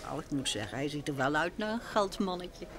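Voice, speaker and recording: An actress in an old Dutch radio play, playing a curious, observant wife who chides affectionately and talks in a chatty way. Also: female voice, low-pitched, expressive, fairly quick, crowd noise.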